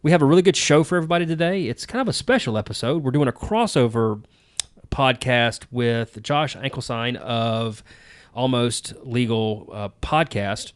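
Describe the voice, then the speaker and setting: A man, hosting a casual podcast chat in a relaxed, easygoing way with plenty of ums and uhs. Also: medium pitch, normal speed, clean tone. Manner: relaxed, easygoing, many fillers. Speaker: podcast host.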